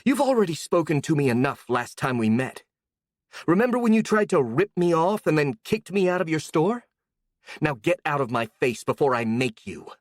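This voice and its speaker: A storyteller voicing a hot-tempered young woman who shouts and sneers with sarcasm. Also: low voice, very animated, normal speed, no echo, studio quality, voice pushed up. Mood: raging.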